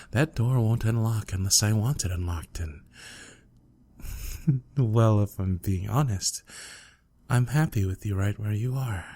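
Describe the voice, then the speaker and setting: A close, dry recording of a man acting out a lovesick, obsessive male student in an ASMR audio drama. He speaks slowly in a soft, husky voice, stammering shyly and coaxing, with menace underneath. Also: on the low side, dry recording, full character voice.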